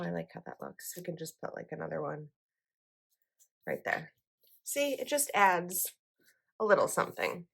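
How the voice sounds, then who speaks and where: A woman's voice: fairly low pitch, fairly bright tone. A young woman vlogger chatting casually as she decorates a planner, her voice a little froggy this morning, rambling with likes and ums.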